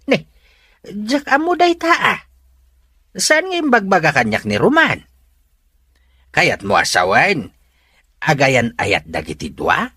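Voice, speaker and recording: A man playing an easily surprised middle-aged villager in a radio drama, with a husky voice. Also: on the high side, wildly swooping pitch, takes it slowly, long pauses, pushed and bright, no echo, full character voice.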